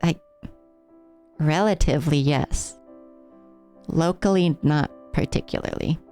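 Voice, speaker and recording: An American woman streams a game with casual, musing commentary. Her voice is soft-edged and dark, sitting a little low, with a slight speech impediment and an affected, trained silliness. Faint game music plays behind her. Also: slow pace. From United States, Rocky Mountain area. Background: video game music.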